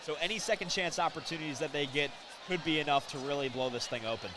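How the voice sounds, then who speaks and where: A man's voice: a little high, fast. An American man giving explanatory, emphatic live analysis as a basketball color commentator. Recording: noisy live arena broadcast. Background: basketball game ambience.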